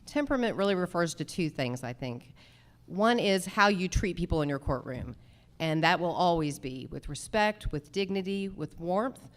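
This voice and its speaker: Lower-pitched woman, emphatic candidate. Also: expressive, moderate pace. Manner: emphatic, declarative, short punchy sentences. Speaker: judicial candidate at a public forum. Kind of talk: prepared campaign forum remarks.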